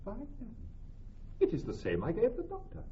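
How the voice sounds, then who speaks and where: A man's voice: high-pitched, wildly swooping pitch, brisk, mellow, slight background noise. A man playing an ardent, contrite young noble husband in classical Shakespeare radio drama, courtly and theatrical. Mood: contrite, amazed. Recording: dull, lo-fi old radio recording.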